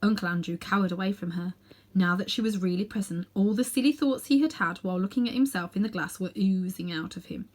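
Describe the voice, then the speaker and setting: A woman narrating a children's storybook in an expressive reading style. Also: mid-range voice, fast talker. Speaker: storybook reader.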